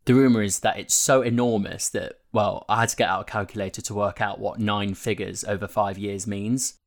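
A man narrates a long written feature in a fairly low voice. He reads from a script as a narrator, moving through prose and quoted remarks. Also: fairly even intonation, fairly quick. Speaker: narrator reading a newspaper feature. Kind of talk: scripted narration of a print interview, with quotes read out.

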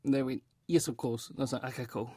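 Man, New Zealand accent, medium pitch, casual interview chat, informal storytelling, very dry recording. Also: fairly even intonation, quick pace, mellow, breathy edge, no room sound. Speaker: theatre director and actor being interviewed.

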